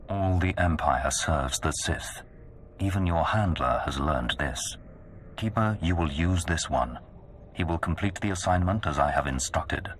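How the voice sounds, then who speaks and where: An actor playing an imperious, menacing dark lord in a video game, pompous and commanding. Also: male voice, extremely low pitch, slight background noise. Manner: slow-burning, pompous, commanding. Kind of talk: scripted game cutscene dialogue.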